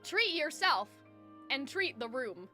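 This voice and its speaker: Female streamer, very high bright voice, chatty and informal, soft music underneath. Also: slow. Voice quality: high-pitched when excited. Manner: rambling, chatty, giggly, slangy. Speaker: live streamer, host. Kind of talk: casual livestream chat with viewers. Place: soundproofed room at home. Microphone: close microphone. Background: faint music.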